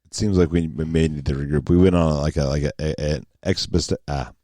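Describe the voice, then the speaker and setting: A man's voice: very deep voice, steady tone, fast, mellow, no room sound, studio quality. A man hosting and producing a casual podcast, wry and profane, steering the chat back on track. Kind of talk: casual banter. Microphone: studio mic with headphones.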